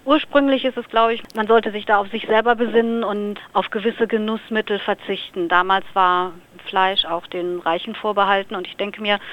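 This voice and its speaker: A woman from Lower Saxony answering questions in a relaxed radio interview, thinking aloud with small fillers. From Lower Saxony, Germany. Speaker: interview guest. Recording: slightly dull radio recording.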